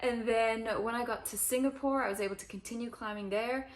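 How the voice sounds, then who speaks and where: A woman's voice: a little high, steady tone, a little fast. A young woman from Switzerland with a British accent tinged northern, chatting casually to camera and answering viewer questions with lots of ums and likes. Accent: northern English-tinged British. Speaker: climber and video creator.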